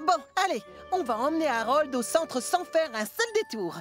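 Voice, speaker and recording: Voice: sits high, expressive, moderate pace, faint music behind. A performer voicing an eager, cheeky young male steam engine in a children's cartoon dub, with an exclamatory delivery. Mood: friendly, confident.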